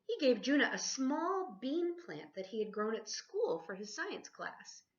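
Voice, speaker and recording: A woman reading a children's picture book aloud expressively, like a teacher, pausing to ask her listeners questions. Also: fairly high pitch, big pitch swings. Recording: dull lo-fi sound.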